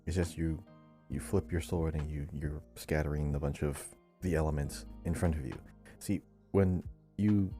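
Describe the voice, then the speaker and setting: A man's voice: a bass voice, steady tone, normal speed, mellow, faint music behind. A man co-hosting a gaming podcast, chatting casually. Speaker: podcast co-host.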